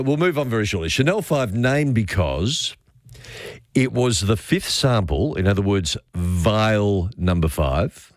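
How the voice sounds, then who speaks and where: A man's voice: lowish voice, no room sound, clean studio sound. A man hosting a talkback radio show, playful and teasing with callers. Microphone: studio microphone.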